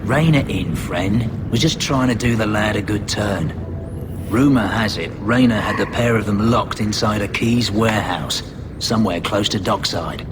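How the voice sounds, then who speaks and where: An actor playing a guarded, matter-of-fact street informant in a video game. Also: male voice, lowish voice, moderate pace, close to an everyday voice. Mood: placating. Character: shady adult man, a mercenary informant who aids runaway mages. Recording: loud game ambience noise. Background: loud ambient game noise.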